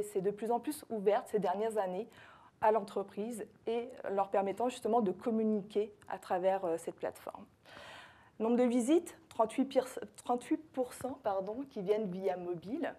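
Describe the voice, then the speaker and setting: French woman, conference speaker, mellow voice, frequent pauses and corrections, live talk. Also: medium pitch. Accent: French (France). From France. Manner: hesitant, many fillers and self-corrections. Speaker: social media consultant and trainer.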